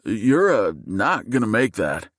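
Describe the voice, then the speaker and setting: A man's voice: lowish voice, sing-song, unhurried, fairly bright tone, dry recording, pitched above the performer's own voice, exaggerated voice. Man playing a dry snarky passenger guy, bit nervous, close dry sound. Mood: wry. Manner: dry, snorting remarks. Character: wry male passenger, derisive and nervous.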